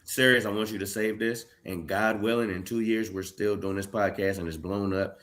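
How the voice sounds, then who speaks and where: A man's voice: lowish voice, fairly even intonation, moderate pace, a bit bright. A man in his late thirties co-hosting a casual podcast, arguing his opinions insistently. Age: late 30s. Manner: insistent, argumentative. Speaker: podcast co-host.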